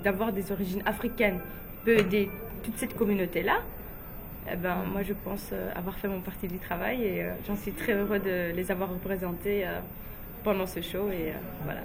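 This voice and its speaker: A young woman from Guinea telling personal stories in a relaxed, chatty way, with frequent fillers and light laughs. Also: mid-range voice, normal speed, vocal fry, crowd noise. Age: young adult. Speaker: interviewee, beauty pageant runner-up.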